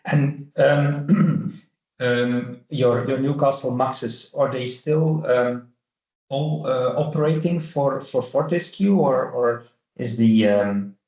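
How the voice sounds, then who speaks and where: Male caller, very slow and hesitant, roomy echoing audio, asking a question on a business call. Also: medium pitch, darkish tone. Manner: hesitant, halting.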